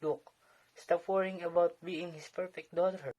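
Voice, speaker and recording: Man acting a calm, supportive young husband. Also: high voice, moderate pace, muffled recording. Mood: reassuring. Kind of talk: amateur one-act play acting.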